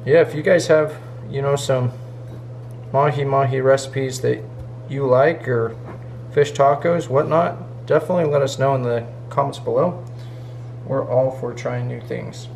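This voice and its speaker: Guy in his 30s doing a chatty cooking vlog in his kitchen, kinda gravelly. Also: medium pitch, fairly even intonation, a little slow, a little mellow, a little hiss or hum, rough recording. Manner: upbeat, chatty, explains each step. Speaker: cooking vlogger. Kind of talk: casual cooking vlog to camera. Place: home kitchen, indoors.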